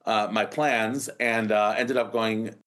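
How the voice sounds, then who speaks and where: A man's voice: fairly low pitch, steady tone, takes it slowly, a bit bright. Rambling American man in his forties. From United States. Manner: rambling, many restarts and fillers. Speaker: startup founder, guest. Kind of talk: casual interview storytelling.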